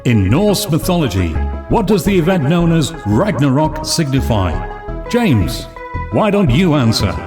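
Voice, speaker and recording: Guy doing a game show host, showy and polite, highish voice, drawn out, loud music under it. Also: sing-song, measured pace. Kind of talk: audio drama game show parody.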